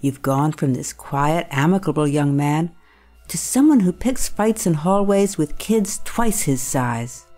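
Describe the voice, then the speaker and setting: Low-voiced, concerned schoolteacher played by a woman. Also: expressive, warm tone, soft background music. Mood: concerned, probing. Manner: measured, coaxing. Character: concerned, probing female school staff member. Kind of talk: audio drama acting.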